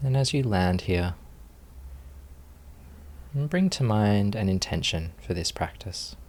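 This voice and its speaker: A man leading a guided meditation as a teacher, speaking calmly and soothingly with long pauses. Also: lowish voice.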